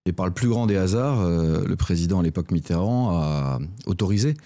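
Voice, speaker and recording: Interview guest: man, late fifties, French from Lorraine, very deep and soft-toned, conversational. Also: lively intonation, fairly quick. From Lorraine, France. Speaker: guest, real-estate entrepreneur.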